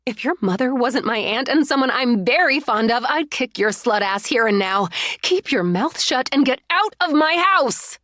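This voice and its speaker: A woman voicing a furious, sharp-tongued betrayed woman. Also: lively intonation, moderate pace, dry recording. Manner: shouting, biting, loud and high.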